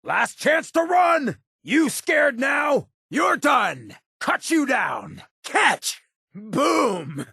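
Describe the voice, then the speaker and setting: A male actor playing a roguish, swaggering ghoul leader in a video game, with a gravelly rasp, an American accent and a cocky, streetwise drawl. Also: extremely high pitch, very animated, drawn-out words, sharp tone, dry recording, shouting, clean studio sound, full character voice. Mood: battle taunting. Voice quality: raspy, gravelly. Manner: cocky, streetwise drawl with clipped slangy phrasing. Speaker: companion character in a video game. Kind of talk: video game character voice lines.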